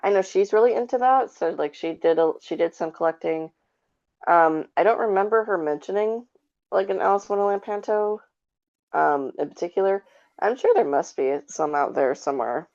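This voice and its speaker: A woman with a notably low voice chats casually as a podcast guest. She phrases things haltingly and with some hesitation. The sound is dull and lo-fi. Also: expressive, darkish tone. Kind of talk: casual chat. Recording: dull lo-fi call audio.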